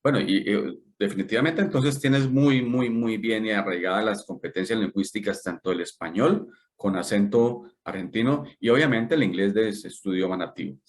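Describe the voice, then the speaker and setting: Entrevistador curioso que reformula sus preguntas. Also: male voice, fairly low pitch, normal speed, few pauses. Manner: inquisitive, hesitant, rephrasing his questions. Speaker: interview host. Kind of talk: conversational interview.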